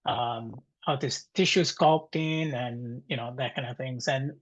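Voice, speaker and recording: A man in his thirties with an Italian accent, presenting informally to a webinar in a halting, conversational way. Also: medium pitch, fairly even intonation. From Italy. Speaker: guest presenter, dental technician. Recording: dull, muffled webinar audio.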